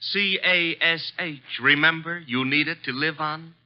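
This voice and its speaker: An actor playing a wry, long-suffering detective's assistant in a 1950s radio drama, needling and narrating. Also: male voice, a little high, measured pace, close to an everyday voice. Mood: pleading, needling. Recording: dull vintage radio recording.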